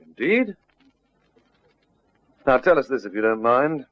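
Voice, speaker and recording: An actor playing a calm, firm detective sergeant, measured, dryly courteous and politely insistent, in an old radio drama. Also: male voice, mid-range voice. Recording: dull, noisy old radio drama recording.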